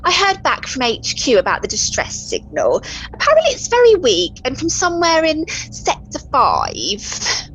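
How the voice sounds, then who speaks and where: A woman's voice: high voice, huge pitch swings, moderate pace, a bit bright, a little hiss or hum, heavily acted. A woman playing a chatty, saucy widow working as a spaceship communications officer in a comic radio play. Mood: businesslike. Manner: chatty, indignant, innuendo-laden. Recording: dull lo-fi close recording.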